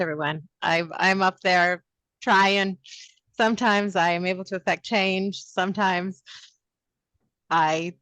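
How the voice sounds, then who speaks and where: Woman chair on a video call, lowish voice, dry sound, brisk and businesslike. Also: a bit bright, no echo. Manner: calls on speakers by name, brisk. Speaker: meeting chair. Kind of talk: online meeting chairing.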